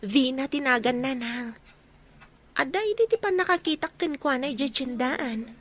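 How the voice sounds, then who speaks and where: A woman's voice: high voice, expressive, moderate pace. A woman with an Ilocano accent playing a wistful, reserved young daughter in a radio drama. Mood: confiding.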